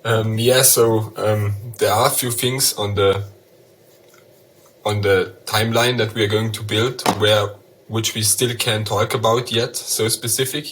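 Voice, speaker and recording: A man's voice: fairly low pitch, steady tone, a little slow, fairly bright tone. A man answering interview questions hesitantly, with many fillers, staying vague about plans. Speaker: NFT project founder.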